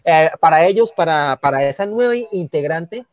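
A man from Santander, Colombia, with a Santander Colombian accent, talking as a panelist on a live online show, animated and full of restarts and repeated words. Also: fairly high pitch, normal speed, dry recording. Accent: Santander Colombian Spanish, from Barrancabermeja. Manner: animated, rambling with many restarts, indignant. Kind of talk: casual live online talk.

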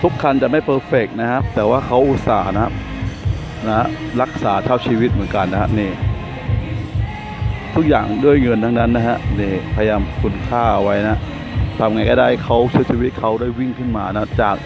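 A man's voice: medium pitch, fairly quick, over music. A man giving casual walkaround commentary at an outdoor car meet, chatty and polite, talking into a handheld camera. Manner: chatty, adds polite "na khrap" to nearly every line. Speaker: car show vlogger.

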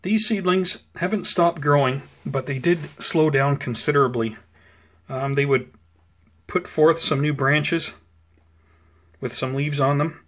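A man giving a casual, unscripted plant update in an unhurried, explanatory, conversational way, recorded indoors on a handheld camera. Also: fairly high pitch, a bit bright. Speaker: host of a plant-growing video channel. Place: indoors at home beside potted seedlings.

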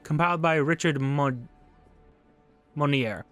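Male playthrough host, slightly high voice, articulate, dry understatement, reads game text aloud over faint music. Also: slow pace, a bit bright. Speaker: gaming video host.

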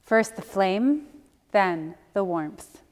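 Woman worship leader, medium pitch, formal measured liturgical delivery, church hall reverb. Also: expressive, darkish tone. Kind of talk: scripted liturgical reading. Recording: reverberant hall, faint music.

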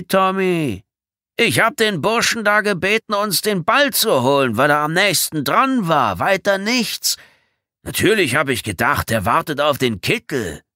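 A man's voice: a little high, no echo, clean studio sound, pitched above the performer's own voice, heavily acted. A man voicing a bullying, sneering male prisoner of war in an audiobook, calling out tauntingly and playing innocent. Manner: loud taunting shouts, feigned innocence. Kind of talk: audiobook character acting.

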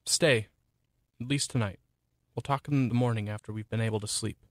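A man's voice: lowish voice, fairly even intonation, brisk, dry recording, close to an everyday voice. A young man acting in a radio play as an earnest, affectionate but wounded boyfriend, speaking plainly. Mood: pleading, sad. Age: young adult. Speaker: student actor.

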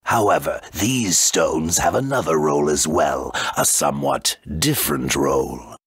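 Game arch-villain: rock-bottom pitch, raspy, grandiose, menacing, deliberate. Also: male voice, a bit bright, dry recording, clean studio sound, exaggerated voice. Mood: ominous. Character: grandiose dark male villain bent on wiping out the world. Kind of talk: video game voice acting.

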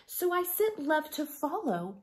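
A woman in her thirties reading a picture book to children like a schoolteacher, gently and expressively, softening to a breathy hush at line ends. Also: high voice, big pitch swings, measured pace. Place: small living room at home.

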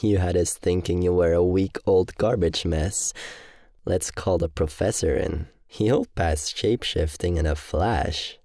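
A man's voice: low-pitched, a little slow, dark tone, no room sound, studio quality. A man playing a mean, mocking demon university bully in an audio roleplay, with a taunting delivery. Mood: sneering contempt.

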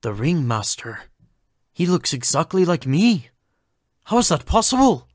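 A man acts out a formal, bewildered scientist for an audio drama. His delivery is precise, with pitch that swoops widely, in a dry, close recording. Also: very animated, a little slow, no echo. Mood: confused, agitated.

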